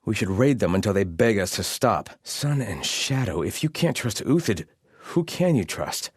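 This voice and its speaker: A wary soldier of a sun-worshipping army for a video game. His voice is low, the delivery stern and formal, with clipped phrases, recorded very dry and close. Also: big pitch swings, moderate pace, slightly rough, no echo. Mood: wary, discontented. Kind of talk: scripted video game voice lines.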